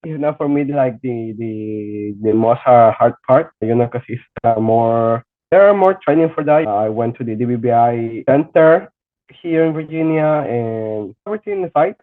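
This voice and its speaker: A man's voice: mid-range voice, animated. Hesitant young man, Spanish native, tinny line. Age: young adult. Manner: halting, searching for words, many restarts. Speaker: guest. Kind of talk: casual podcast interview.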